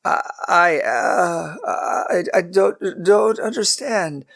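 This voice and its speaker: A man's voice: sits high, big pitch swings, a little slow, gravelly, a bit bright, pitched above the performer's own voice, exaggerated voice. A man voicing a timid old patriarch who stammers and hesitates. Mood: flustered.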